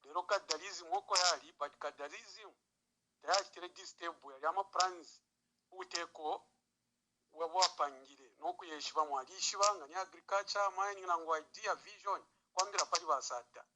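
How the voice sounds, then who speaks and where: A man's voice: high-pitched, brisk. A man with a Zambian accent giving spontaneous political commentary as a caller on a noisy phone line.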